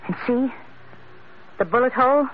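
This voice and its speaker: A woman's voice: sits high, expressive, normal speed. An actress playing an anxious, pleading young woman who speaks earnestly and dramatically, in old-time radio acting style. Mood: earnest, insistent. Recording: tinny, noisy old radio recording.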